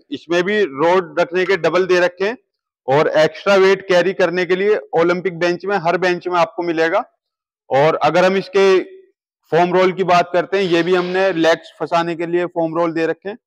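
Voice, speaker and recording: A man in his thirties with a fairly high voice walks through a large indoor warehouse, explaining each gym machine in a steady sales manner. He is recorded on a lapel mic as he points out the features. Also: rough recording. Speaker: gym equipment seller. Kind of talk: product walkthrough.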